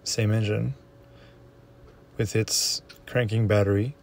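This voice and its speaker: A man with a fairly low voice narrates a tour of his own boat. He lists each compartment and piece of equipment in a plain, matter-of-fact way, without fuss. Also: flat delivery, slow pace, gravelly, a little mellow, slight background noise. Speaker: boat owner giving a tour.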